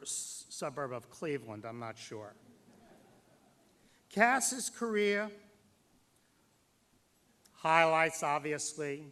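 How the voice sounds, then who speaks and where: Slow, deliberate man giving a formal tribute. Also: mid-range voice, sharp tone. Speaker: master of ceremonies at an award banquet. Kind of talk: formal tribute speech to an audience.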